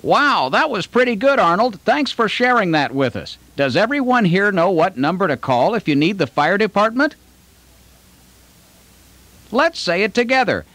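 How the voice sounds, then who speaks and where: Man as an animated, high-voiced kids' show host. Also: normal speed. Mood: upbeat, instructive. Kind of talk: children's safety presentation.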